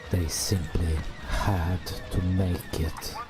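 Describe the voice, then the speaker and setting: A man softly narrating a video game as an ASMR bedtime story, hushed and drawn out, with long pauses. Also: low voice, level tone. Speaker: host narrating gameplay. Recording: music behind the voice. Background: game music.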